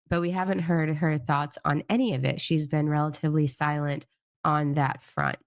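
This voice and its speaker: A young woman hosts a casual news recap, her voice nasal and stuffy from a cold. She speaks low with a gravelly edge and often hedges and rambles between fillers. The sound is close and dry. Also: no room sound. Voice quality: nasal, getting over a cold. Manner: rambling and hedging, with many fillers. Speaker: host of a news recap channel. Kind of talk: casual commentary reading an article aloud.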